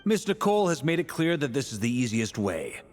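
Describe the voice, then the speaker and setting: A man's voice: medium pitch, big pitch swings, fairly quick, soft background music, natural voice. A man playing a hearty, jovial fellow. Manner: jovial, bold. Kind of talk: video game cutscene voice acting.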